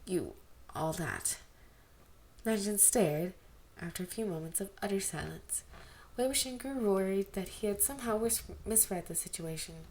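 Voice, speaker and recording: A woman narrating fan fiction aloud in an expressive, amateur storytelling style. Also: mid-range voice, moderate pace. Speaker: amateur podfic reader. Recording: amateur home recording, background noise.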